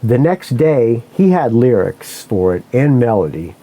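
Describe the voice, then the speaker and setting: A man's voice: medium pitch, very animated, a little slow, dark tone. A man hosting a podcast, telling a story in a casual, rambling way, correcting himself often and swearing freely. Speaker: podcast host.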